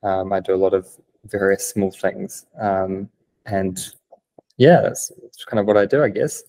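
A man's voice: low-pitched, steady tone, measured pace, creaky, dark tone, poor audio. A young man with a New Zealand accent, explaining things eagerly on a remote video call, with many "um" fillers. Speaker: guest, business developer. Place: plain-walled room indoors.

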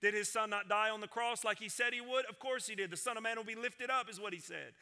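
A man in his forties, a senior pastor, preaching a sermon from a church stage, swinging between loud proclamation and hushed intensity. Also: high-pitched, fast talker, smooth. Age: 40s. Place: large church stage with piano and guitars. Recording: sanctuary with long reverb.

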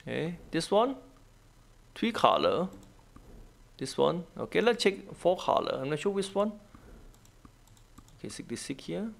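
A man who is not a native English speaker, giving a casual gameplay walkthrough in halting, repetitive short phrases. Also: fairly high pitch, very animated, creaky. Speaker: gaming guide host.

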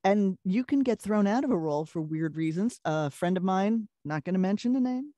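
A middle-aged woman with a Brooklyn accent and a deeper voice, telling lively anecdotes in a casual interview and laughing often. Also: moderate pace, no echo. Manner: animated storyteller, laughs often. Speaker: voice actress, guest.

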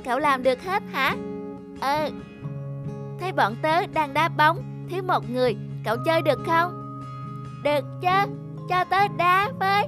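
A woman's voice: very high voice, lively intonation, a little slow, pauses often. A woman voicing a friendly, confident young schoolchild in a cartoon. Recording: music under the voice.

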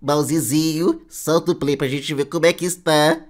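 A man's voice: a little high, fairly even intonation, normal speed, close to an everyday voice. A man voicing a cheerful childlike cartoon sponge puppet, chatting playfully in Brazilian Portuguese as he hosts reactions. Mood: chatty. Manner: playful, repeats catchphrases to little friends. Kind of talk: casual reaction commentary.

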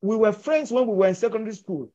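A man's voice: high-pitched, fast talker, dark tone. Emphatic older man on a video call. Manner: emphatic, persuasive, gesturing with his hands. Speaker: filmmaker and actor discussing his film. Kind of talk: conversational storytelling. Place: indoors at home, virtual backdrop. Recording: muffled video call audio.

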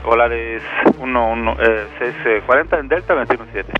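A man giving formulaic tower clearances over air traffic radio. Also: mid-range voice, moderate pace, highs cut off, music in the background.

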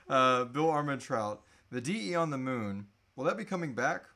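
A man in his thirties hosting a casual live question-and-answer chat, often halting and trailing off mid-thought. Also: on the high side, gravelly, pushed and bright. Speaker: host answering audience questions. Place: small indoor room.

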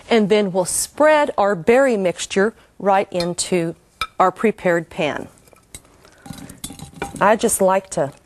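A woman's voice: medium pitch, a little mellow, a little hiss or hum. A middle-aged woman hosting a cooking show, giving homey, enthusiastic step-by-step instructions while she cooks. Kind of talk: cooking demonstration.

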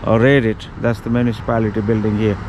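A man's voice: lowish voice, level tone, fast, highs cut off. A man in his fifties narrating a walking-tour travel vlog, hesitant with many ums, filmed on a handheld action camera outdoors. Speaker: travel vlogger. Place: outdoors on city streets. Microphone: action camera held at arm's length. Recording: outdoor street noise, traffic.

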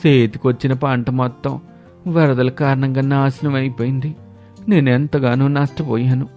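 A man's voice: mid-range voice, level tone, a little slow, dark tone, soft background music, natural voice. A man voicing a distressed farmer pleading on television news in a cartoon story, plaintive and earnest.

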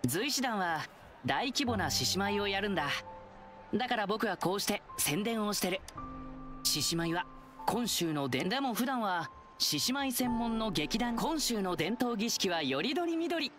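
Woman doing a cheery young lion dancer guy from a game, boyish n friendly, really bouncy pitch, music behind. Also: big pitch swings, normal speed, lightly acted. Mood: friendly, proud. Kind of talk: video game voice acting.

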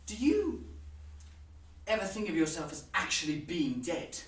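A young man acting a morbidly musing, rambling character in a halting theatre monologue. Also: on the high side, wildly swooping pitch. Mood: uneasy musing. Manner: halting, conversational musing with swings in volume. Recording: roomy, distant mic with noise.

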